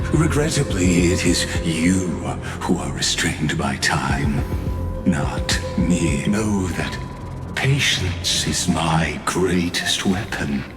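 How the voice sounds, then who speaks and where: An actor playing a cold, patient genius villain in a video game, speaking formally and haughtily. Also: male voice, sits low, echoey room.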